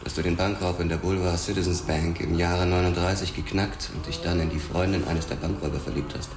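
A man's voice: deep voice, fairly even intonation, fast talker. An actor playing a laconic, guarded ex-boxer cop who narrates his past in a crime radio drama. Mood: guarded, dry.

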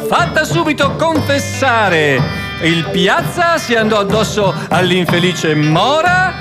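A man's voice: sits high, big pitch swings, slow. A man narrating a historical account as radio theatre, in a theatrical, emphatic storytelling way. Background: music.

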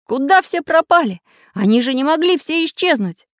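A woman's voice: on the low side, lively intonation, brisk, no echo, clean studio sound. A woman voicing a brave, indignant little male iron handyman in a children's story. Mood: alarmed. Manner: indignant, emphatic.

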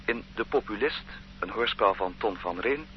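Low-voiced male radio announcer. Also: lively intonation, normal speed. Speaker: announcer reading cast credits. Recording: dull, noisy old radio recording.

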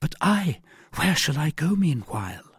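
An anxious young woman from an audiobook, acted out by a man, pleading and earnest in formal, old-fashioned phrases. The voice is lifted high and slightly breathy-rough, with swooping intonation and a dry, close sound. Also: very animated, unhurried, no room sound, full character voice. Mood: wary, earnest. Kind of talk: audiobook character voice.

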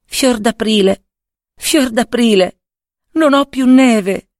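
A woman voicing a gentle, fragile young snow maiden in a storybook fairy tale, with a pleading manner. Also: fairly high pitch, takes it slowly, no room sound, clean studio sound, pitched above the performer's own voice. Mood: desperate, faint.

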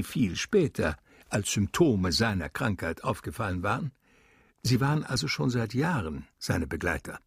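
Man, grave radio drama narration, husky, gravelly, measured, dry studio sound. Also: on the low side, dry recording.

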